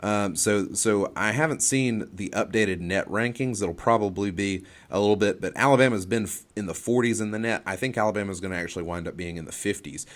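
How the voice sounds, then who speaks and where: A man's voice: on the low side, brisk, hardly any pauses. A man giving off-the-cuff sports analysis in an explanatory way, often restarting and repeating his phrases. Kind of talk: off-the-cuff college basketball analysis.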